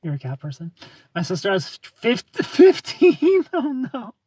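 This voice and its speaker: Husky-voiced man chatting on a livestream. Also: very high voice, wildly swooping pitch, a little slow, mellow. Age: 30s. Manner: joking, self-mocking, laughs often. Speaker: livestream host. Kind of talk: casual livestream chat reading viewer comments aloud.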